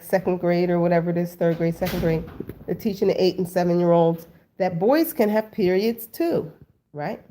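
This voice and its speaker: A woman's voice: fairly low pitch, mellow. A woman in her thirties hosting a casual talk for her viewers. She has a cold and sounds stuffy and sniffly, and she talks in a loose, chatty way full of "like" and "um". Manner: chatty, lots of "like" and "um", addresses viewers as family. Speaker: host.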